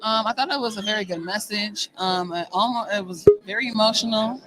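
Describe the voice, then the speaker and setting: A woman's voice: mid-range voice, a little fast, fairly bright tone, poor audio. A woman who sings background vocals, giving casual answers in an interview.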